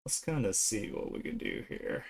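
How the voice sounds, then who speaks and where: A man chatting casually on a livestream as he works, thinking aloud and often trailing off mid-thought. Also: normal speed. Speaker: streamer, digital artist. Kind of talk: casual livestream chat while drawing.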